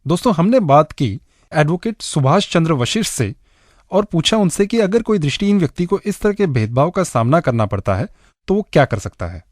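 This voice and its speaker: An Indian man hosts a radio programme, reading scripted narration in a fairly high male voice. The sound is dry and close, with very little room in it. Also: very animated, fairly quick, dry recording. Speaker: radio show host.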